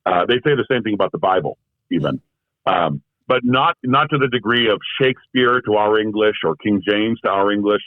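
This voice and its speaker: A man with a lowish voice, speaking as an interview guest in a meandering, reflective way, full of "you know" and restarts. His audio comes through a thin, phone-like remote line, and it sounds dry and close. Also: lively intonation, brisk, no echo. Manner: thoughtful, rambling, frequent fillers and restarts. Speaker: guest, translator. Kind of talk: casual conversational interview.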